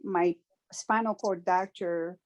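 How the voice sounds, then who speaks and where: A woman from Morocco, speaking as a guest in a conversational interview. She talks earnestly and reflectively, often pausing and restarting her sentences. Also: fairly low pitch, steady tone. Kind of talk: conversational personal testimony.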